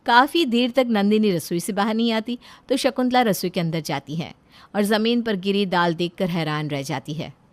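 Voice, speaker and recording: A woman's voice: mid-range voice, moderate pace. A woman narrating a scripted family story.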